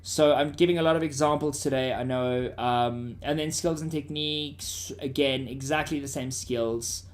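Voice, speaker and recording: A man's voice: medium pitch, steady tone, moderate pace, hardly any pauses. A man with a South African accent teaching students in an informal, conversational way, often checking that they follow. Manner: informal, checks listeners' understanding.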